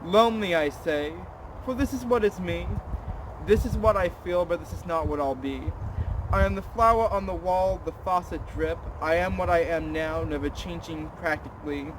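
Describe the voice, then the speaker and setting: A man's voice: high voice, normal speed. A young adult man talking casually to an audience in a rambling, self-deprecating way. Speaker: vlogger. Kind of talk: casual chat to camera. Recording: clear background noise.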